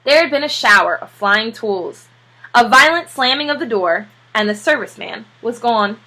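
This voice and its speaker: A woman narrating a children's storybook aloud like a teacher, with expressive delivery. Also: on the high side, normal speed, low-quality recording.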